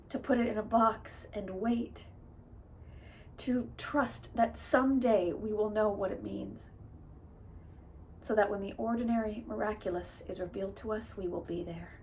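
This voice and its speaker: A woman delivering a theatrical dramatic monologue in an emotive, emphatic way, swinging between soft and loud. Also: mid-range voice, steady tone, a little slow, long pauses. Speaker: actor performing monologues. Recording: distant mic with clear background noise.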